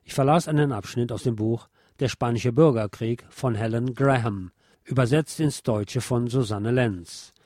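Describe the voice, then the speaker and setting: A man reading a nonfiction text aloud as a podcast host, in a formal, measured, factual manner. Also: medium pitch, a little mellow, dry recording, studio quality.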